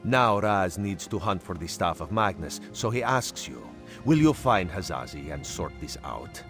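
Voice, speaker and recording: An actor playing a sly, genial cat-folk agent in a video game, speaking in a measured, theatrical way. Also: male voice, sits low, big pitch swings, music in the background. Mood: businesslike. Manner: speaks of himself in third person, measured and theatrical. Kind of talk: video game character dialogue.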